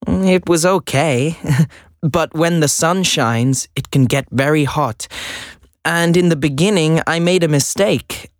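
A man's voice: on the high side, slow, dry recording, studio quality. An actor playing a hesitant teenage boy applicant. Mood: earnest. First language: Swedish. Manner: hesitant, chatty, laughs nervously. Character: earnest 16-year-old boy job applicant. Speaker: job applicant. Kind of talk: scripted listening dialogue.

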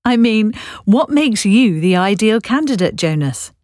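Actress as polite brisk woman interviewer, lively pitch, dry close mic. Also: no echo, close to an everyday voice. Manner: brisk, polite, probing questions. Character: businesslike woman interviewer, patient. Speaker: job interviewer. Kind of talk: scripted listening dialogue.

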